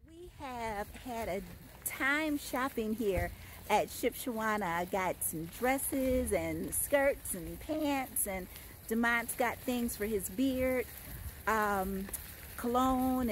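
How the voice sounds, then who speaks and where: A woman's voice: medium pitch, a little hiss or hum, low-quality recording. A woman chatting enthusiastically as she vlogs a walk through an outdoor flea market, talking into a phone held at arm's length. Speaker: travel vlogger. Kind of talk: casual vlog walk-through. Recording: outdoor phone audio with crowd ambience.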